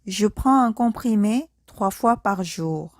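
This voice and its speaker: Woman, language teacher, slow careful drill, phrase-by-phrase repetition, mid pitch, dry close recording. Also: animated, no echo. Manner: slow, careful, repeats each sentence phrase by phrase. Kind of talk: slow speaking-practice drill.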